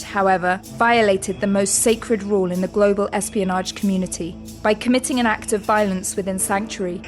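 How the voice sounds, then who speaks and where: A woman narrating an audio drama recap in a measured, steady storytelling voice. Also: mid-range voice. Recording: music under the narration.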